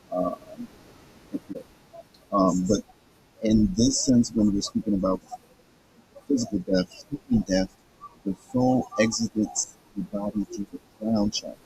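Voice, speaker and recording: A hoarse man reading a book aloud with casual commentary, halting and stumbling over his words, his voice raspy. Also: lowish voice, darkish tone, a little hiss or hum, low-quality recording. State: hoarse, throat hurting.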